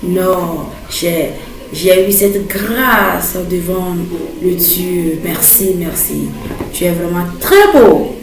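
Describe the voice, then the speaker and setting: A woman's voice: fairly low pitch, drawn-out words, a little hiss or hum. A woman voicing a gushing, reassuring young bride-to-be in a cartoon, dazzled by wealth. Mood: adoring. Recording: echoey, distant mic, music underneath.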